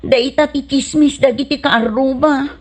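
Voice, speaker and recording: A bossy village mother played by an actress in a radio comedy drama. Her voice is high and she nags insistently, a shameless penny-pincher. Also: steady tone, measured pace, a little mellow. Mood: complaining. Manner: nagging, insistent.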